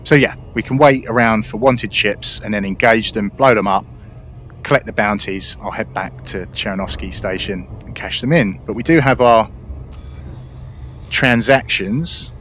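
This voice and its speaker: A man's voice: on the low side, a little slow, fairly bright tone. A man giving casual, explanatory gameplay commentary in a conversational way. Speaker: gaming video creator. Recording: muffled mic with faint noise.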